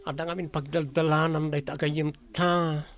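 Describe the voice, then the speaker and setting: A woman's voice: low voice, level tone, moderate pace. A Filipino woman acting a casual friend in a radio drama.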